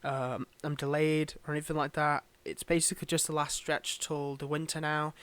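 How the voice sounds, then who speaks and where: A man's voice: on the high side, little pitch movement, moderate pace, fairly bright tone, clear, resonant tone. A man giving a casual, unscripted update to his listeners as channel host, in a subdued, hesitant, rambling way. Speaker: ASMR voice performer and channel host.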